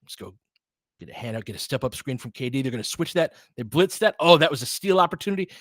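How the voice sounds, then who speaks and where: A man's voice: medium pitch, animated, quick pace, a bit bright, no room sound, studio quality. A man giving informal live basketball play-by-play with bits of analysis, in clipped, slangy, conversational phrases as a partisan fan. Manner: partisan fan of one team.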